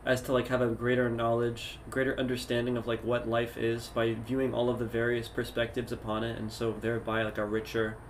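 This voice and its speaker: A young man with a mid-pitched voice chats loosely on a podcast, teasing and laughing easily. He circles around his points with restarts, and a faint background hiss sits under him. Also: monotone, brisk, hardly any pauses. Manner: playful, laughs often, rambling restarts. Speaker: podcast co-host. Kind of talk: casual chat.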